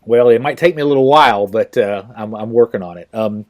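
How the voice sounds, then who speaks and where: Low-voiced man hosting a podcast. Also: a little mellow. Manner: halting, many fillers and restarts. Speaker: podcast host. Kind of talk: casual interview talk.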